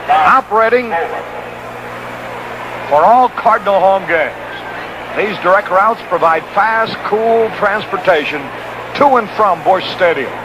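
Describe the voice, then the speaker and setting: Male color commentator, vintage 1960s ballgame radio, notably high voice, loud noise throughout. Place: stadium broadcast booth. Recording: noisy old 1960s radio broadcast.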